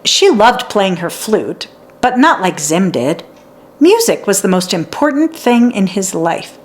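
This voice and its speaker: A woman narrating a children's story in an expressive read-aloud style for young listeners. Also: mid-range voice, measured pace. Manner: acts out dialogue and sounds out letters.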